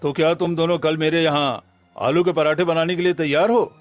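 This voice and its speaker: A man's voice: fairly high pitch, fairly even intonation, fairly quick, soft background music, close to an everyday voice. A man playing a middle-aged village headman, an authoritative father of the bride, in a Hindi cartoon story. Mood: businesslike.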